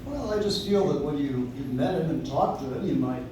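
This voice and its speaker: A man playing a mild, friendly gentleman in an amateur live radio play, hesitant and conciliatory. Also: fairly high pitch, animated, fairly quick, close to an everyday voice. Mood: friendly, explaining. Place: coffee house stage, recorded from the audience. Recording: distant audience recording, very echoey, noisy.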